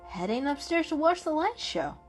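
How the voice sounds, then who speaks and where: A woman voicing a calm, sensible male cat, matter-of-fact and gently teasing, in a storybook reading. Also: high-pitched, moderate pace, faint music behind, pitched above the performer's own voice.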